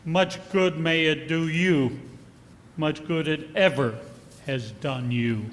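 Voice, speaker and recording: An actor playing a cold, bitter old miser with scornful, barking theatrical delivery in a 1940s style radio play. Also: male voice, a little high, slow pace. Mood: bitter, mocking. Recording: live performance in a reverberant hall.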